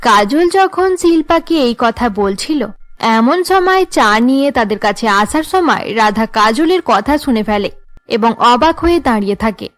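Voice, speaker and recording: A woman narrates a cartoon family tale. Her voice is high, and she delivers the script as a storyteller setting out each scene. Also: expressive, measured pace.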